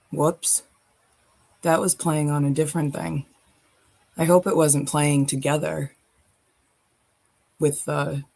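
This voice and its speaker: A woman streamer talks in an unhurried, drawn-out way, her voice carrying a gravelly rasp. She chats loosely and swears offhand, with faint music playing somewhere behind her. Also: low-pitched, a little mellow. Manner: loose, chatty, swears casually. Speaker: livestream host. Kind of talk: casual live-stream chat.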